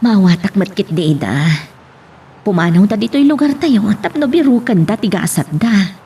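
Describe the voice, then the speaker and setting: A woman's voice: mid-range voice, expressive, normal speed, mellow, breathy edge. A woman playing a caring middle-aged village mother in a radio drama, with a Filipino accent. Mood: wistful. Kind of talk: Ilocano radio drama acting. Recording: faint background noise.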